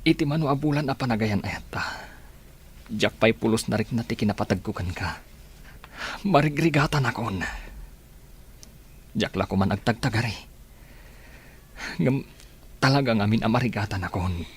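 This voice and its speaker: Radio drama: heartbroken young suitor, Filipino accent, lowish husky voice. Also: male voice, lively intonation, normal speed, pauses often, exaggerated voice. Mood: heartbroken, pleading. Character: lovesick, heartbroken, patient young man hurt by rejection.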